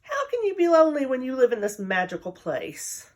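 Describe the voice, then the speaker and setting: A woman voicing a small, kindly old male dwarf who coaxes gently. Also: high voice, wildly swooping pitch, brisk, voice pushed up. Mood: coaxing. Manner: coaxing, gently insistent.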